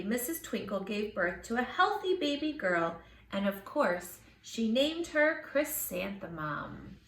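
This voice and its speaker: A woman narrates a children's storybook expressively. Her voice is bright and swings widely in pitch, and the room echoes around her. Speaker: storybook reader. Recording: echoey room, distant mic.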